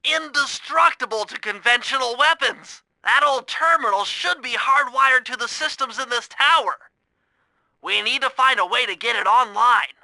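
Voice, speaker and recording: A man voicing a nerdy, earnest young turtle who plans heists in an animated video game, with a nasal voice and a precise, technical, eager way of briefing. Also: very high-pitched, normal speed, dry recording, exaggerated voice, poor audio. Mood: earnest, analytical.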